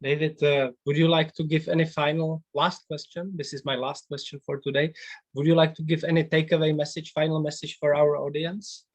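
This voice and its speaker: Hesitant higher-voiced male podcast host. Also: level tone, a little fast. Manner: hesitant, many fillers. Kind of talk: casual interview questions.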